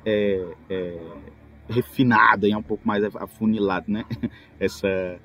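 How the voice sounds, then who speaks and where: A man with a Brazilian accent in casual podcast chat, telling things in an animated way with rhetorical questions. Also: fairly low pitch, unhurried, lo-fi sound. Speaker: tabletop role-player, podcast speaker. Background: music.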